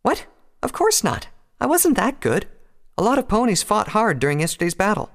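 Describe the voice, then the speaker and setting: A man voicing a worried, dutiful young female unicorn mage with an earnest, measured manner. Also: fairly high pitch, very animated, vocal fry, voice pushed up. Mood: flustered.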